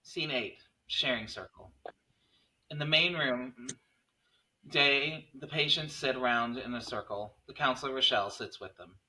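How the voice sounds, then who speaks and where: Male script narrator, mid pitch, very bright forceful tone, reading stage directions. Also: a little slow, poor audio. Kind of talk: scripted narration at a table read.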